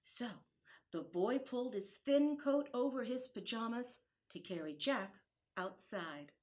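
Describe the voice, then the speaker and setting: A woman narrates a children's Christmas storybook in a fairly high voice. She reads very slowly and expressively, giving each phrase its time. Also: expressive, a little mellow.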